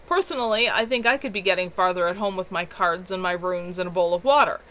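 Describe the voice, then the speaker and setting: A woman's voice: lowish voice, fast talker, a bit bright, natural voice. A woman voicing a weary, exasperated young coven leader in a novel reading, emphatic and sarcastic.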